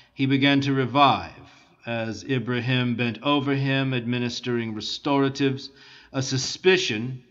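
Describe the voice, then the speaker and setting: A man narrating a classic novel as an audiobook in a steady, measured reading. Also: medium pitch, muffled recording. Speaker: audiobook reader.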